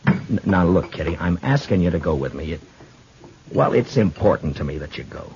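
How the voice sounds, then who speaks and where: An actor playing a plainspoken, earnest frontier marshal with an American accent and a halting manner in a 1950s radio western. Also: male voice, expressive, darkish tone, slight background noise. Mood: earnest, insistent. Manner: halting, low-key, awkwardly sincere. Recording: dull 1950s radio recording, music.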